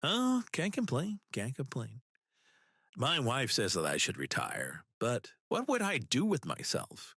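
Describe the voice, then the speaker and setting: A woman's voice: rumbling low, huge pitch swings, measured pace, a bit bright, breathy edge, no echo, clean studio sound, voice pushed down, full character voice. A woman voicing a friendly, chatty older male rink manager in an audiobook, with AI voice modification. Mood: genial, joking. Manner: folksy, chatty.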